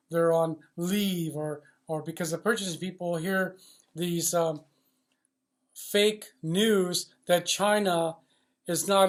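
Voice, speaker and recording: A man with a notably high voice speaks off the cuff in a blunt, riled-up monologue. He hammers his points with repeated phrases and coarse language, halting now and then as he searches for the next words. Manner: blunt, emphatic, profane, riled up. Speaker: loudspeaker supply industry commentator based in China. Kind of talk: unscripted opinionated monologue.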